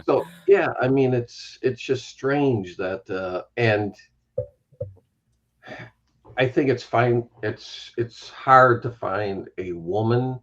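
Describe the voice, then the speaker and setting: A man in his fifties musing his way through a relaxed group chat. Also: mid-range voice, slow pace, muffled recording. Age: 50s.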